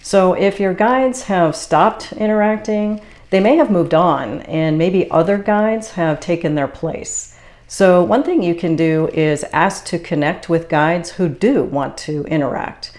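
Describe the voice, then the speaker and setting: A woman with a notably low-pitched voice talks in a calm, unhurried way, as if chatting to viewers about her own experiences. She is from the Boston area and speaks as a spiritual channeler hosting her own video. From Boston area, USA. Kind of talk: casual explanatory monologue.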